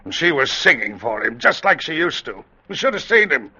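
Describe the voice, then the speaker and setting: An actor playing a guilt-crazed, haunted ship captain, pleading and raving in melodramatic old-time radio drama style. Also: male voice, a little high, sing-song, normal speed, sharp tone, slightly rough, exaggerated voice. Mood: brooding. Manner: frantic, rambling, melodramatic. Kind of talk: 1940s-50s radio drama acting. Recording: dull, noisy vintage radio recording.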